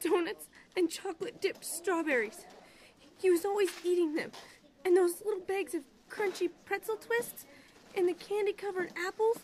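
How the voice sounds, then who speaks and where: A woman's voice: very high-pitched, moderate pace, darkish tone, a little hiss or hum. A woman playing an anxious supermarket worker in an audio drama, urgent and worried. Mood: exasperated.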